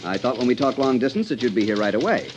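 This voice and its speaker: A man's voice: medium pitch, lively intonation, quick pace, slight background noise, close to an everyday voice. An actor playing a fussy, earnest, easily flustered insurance man in a 1950s radio drama. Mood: worried. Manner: solemn, fussy, flustered, long-winded. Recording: dull old radio recording.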